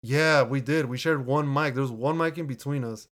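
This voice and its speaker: A man with a deep voice, co-hosting a casual podcast and reading listener reviews in an easygoing way, laughing often and restarting his words. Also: moderate pace, a bit bright. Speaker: podcast co-host. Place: home room, recording remotely.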